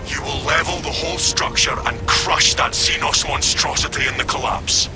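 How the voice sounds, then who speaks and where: An actor playing a stern military commander issuing clipped orders over comms. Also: male voice, on the high side, fairly even intonation, normal speed, loud music behind the voice, close to an everyday voice. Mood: commanding.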